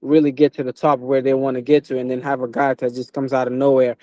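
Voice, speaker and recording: Young man, mellow and halting, casual chat. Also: mid-range voice, level tone, no echo, clean studio sound. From Portugal, moved to the US at twelve. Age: young adult. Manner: repetitive, halting, frequent "you know". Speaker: professional boxer, interview guest.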